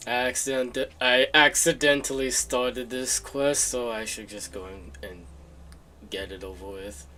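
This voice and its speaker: A man's voice: mid-range voice, fairly even intonation, unhurried, sharp tone. A man chatting casually to himself in a laid-back way while streaming a video game. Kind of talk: casual livestream chat while playing.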